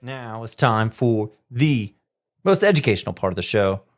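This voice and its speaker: A man's voice: medium pitch, big pitch swings, normal speed, soft background music. A man hosting a school radio show in a chatty, joking way.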